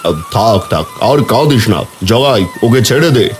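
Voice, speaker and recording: A man acts a village chief in a cartoon, kind yet commanding. His voice sits at a middle pitch and sounds fatherly and firm, with faint music underneath. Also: lively intonation, normal speed, lightly acted. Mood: kindly. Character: kindly, authoritative male village headman.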